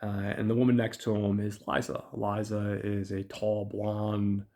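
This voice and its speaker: A man hosting a podcast whose voice is raspy from illness, chatting loosely through a film review with many ums and uhs and talking to his listeners as guys. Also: fairly low pitch, completely flat delivery, unhurried, a little mellow. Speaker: podcast host.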